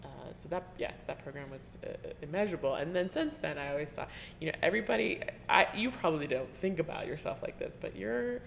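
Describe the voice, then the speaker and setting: A woman interviewing a colleague in a relaxed, chatty way, often trailing off and restarting and laughing as she talks. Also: low-pitched, fairly quick, vocal fry, hardly any pauses, a little hiss or hum. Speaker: interviewer, teaching artist. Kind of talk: conversational interview. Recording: reverberant room with faint ambience.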